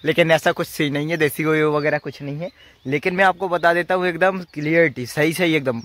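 A man's voice: fairly high pitch, fairly even intonation, a little fast, fairly bright tone, dry recording. A young man chatting casually to viewers in a vlog, addressing them informally as brothers. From rural India. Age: young adult. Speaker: vlogger. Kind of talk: casual vlog chat to camera. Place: outdoors in village fields and tented cricket ground. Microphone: phone at arm's length. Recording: noisy outdoor phone recording.

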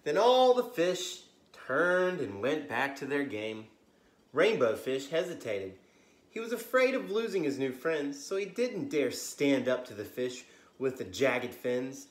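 An American man in his thirties hosting a children's story time, reading aloud expressively with gentle asides to young listeners. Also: mid-range voice. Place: living room, on a couch indoors. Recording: roomy, echoey home recording.

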